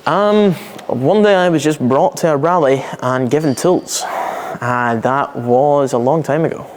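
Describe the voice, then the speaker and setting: A man's voice: a little high, measured pace, darkish tone. A twenty-one-year-old man who talks in a laid-back way with a few uhs. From Derry. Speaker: student rally team member. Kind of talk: casual interview answers.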